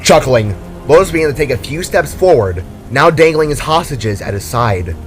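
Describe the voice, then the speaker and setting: A man's voice: a little high, animated, normal speed, soft background music. A man reading scripted fantasy action as a dramatic story narrator.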